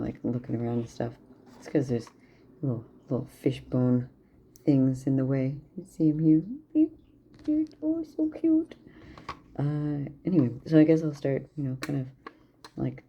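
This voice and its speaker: A man's voice: medium pitch, normal speed, gravelly, a little hiss or hum. A tired young man talking candidly in a casual video diary, rambling with lots of fillers. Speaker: vlogger. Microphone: camera propped near a plant. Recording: dull lo-fi camera audio.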